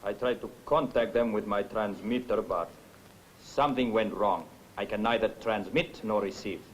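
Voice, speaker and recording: An actor playing a hospitable, anxious Spanish husband in a 1960s film, with a Spanish accent and courteous manner. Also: male voice, on the low side, normal speed, a little hiss or hum, lightly acted, rough recording. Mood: earnest. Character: newly blind Spanish husband, hospitable, anxious expectant father. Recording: 1960s film soundtrack, faint music.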